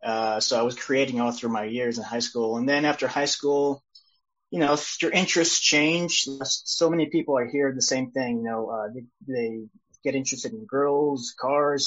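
A man, born in El Salvador and raised in California, answering interview questions in an easygoing, modest way with many pauses. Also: mid-range voice, steady tone. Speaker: guest illustrator and publisher. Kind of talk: casual interview chat. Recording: dull lo-fi sound.

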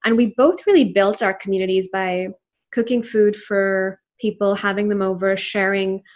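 A woman's voice: mid-range voice, fairly even intonation, moderate pace. A woman in her thirties who grew up in Pakistan and speaks Urdu natively, talking as an entrepreneur guest in an interview in an earnest, reflective way with frequent fillers. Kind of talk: casual interview conversation.

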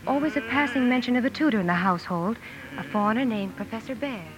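An actress playing a gentle married sister, narrating a family story in measured, reflective fashion for a 1950s radio drama. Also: female voice, on the high side, lively intonation, natural voice. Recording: dull old radio broadcast, music behind.

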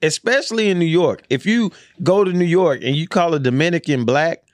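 A young adult American man co-hosting a podcast, bantering casually in animated, profane slang. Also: fairly high pitch, brisk, no room sound. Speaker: podcast co-host.

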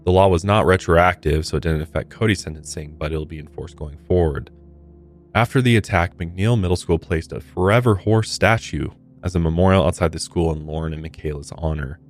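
A man with an American accent narrating true crime as a podcast host, with casual commentary mixed in. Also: deep voice.

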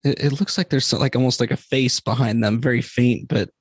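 Mid-pitched man chatting, very dry sound. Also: steady tone, brisk, breathy edge, no echo. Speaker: podcast guest. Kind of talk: casual chat.

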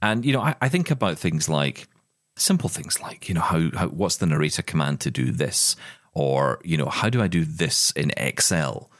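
A man's voice: rumbling low, expressive, moderate pace, fairly bright tone, dry recording, studio quality. A British man co-hosting a podcast, chatting enthusiastically about tech news with frequent restarts. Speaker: podcast co-host. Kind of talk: casual tech news chat.

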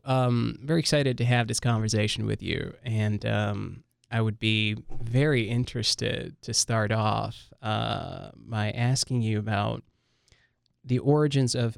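A man's voice: fairly low pitch, creaky, clear, resonant tone, dry recording. A man hosting an interview podcast, welcoming his guest and asking follow-up questions with many fillers. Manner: warm, many "uh" and "um" fillers, eager follow-ups. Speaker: podcast host. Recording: dry close studio mic.

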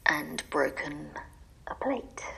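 A woman's voice: sits low, lively intonation, slow pace, gravelly, a bit bright, exaggerated voice, rough recording. An actress playing a desperate, exasperated wife who is sick of her husband, in an audio drama. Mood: hushed, secretive. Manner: ranting in long bitter lists, sometimes dropping to a secretive whisper.

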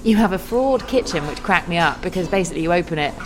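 A woman's voice: on the low side, expressive, quick pace, over music, poor audio. A woman interviewing a guest in a casual chat, talking breezily.

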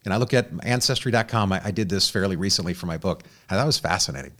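A man's voice: low-pitched, quick pace, sharp tone. A man hosting a studio interview, reading a guest's bio and then asking earnest questions with frequent restarts. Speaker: host.

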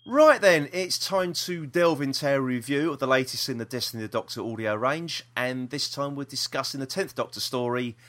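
Bright-voiced, rambling British man. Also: mid-range voice, fairly quick, hardly any pauses. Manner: hesitant, rambling, repeats himself. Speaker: podcast host. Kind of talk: casual chat.

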